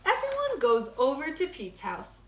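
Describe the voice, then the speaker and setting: Female storybook reader for kids, teacherly, higher-pitched and very lively, roomy sound. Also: normal speed. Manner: expressive, simple phrasing aimed at young children. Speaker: teacher reading a story to children. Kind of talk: children's storybook read-aloud. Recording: echoey room.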